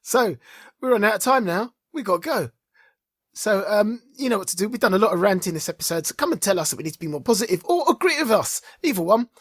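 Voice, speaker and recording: A man co-hosting a podcast with casual fan banter, informal, playful and excitable in the way he talks. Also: high-pitched, fast talker, husky, dry recording, studio quality. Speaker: podcast co-host.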